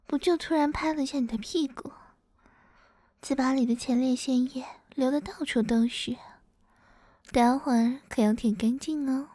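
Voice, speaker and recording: A woman voicing a dominant, teasing middle-aged mother-in-law in an intimate adult audio roleplay, often slipping into breathy whispers and giving slow commands. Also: high voice, steady tone, dark tone, no room sound. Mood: teasing, commanding.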